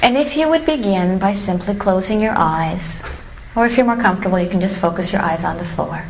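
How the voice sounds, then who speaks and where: A woman leading a guided meditation in a calm, gently instructive way with long pauses, recorded live in a room. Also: mid-range voice. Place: room with a seated live audience. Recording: roomy live recording with faint noise.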